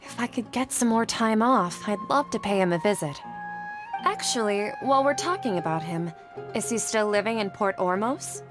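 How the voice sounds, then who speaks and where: A woman's voice: medium pitch, expressive, normal speed, lightly acted. A woman acting an earnest, polite and devout young desert woman in a video game. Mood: friendly, concerned. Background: game music.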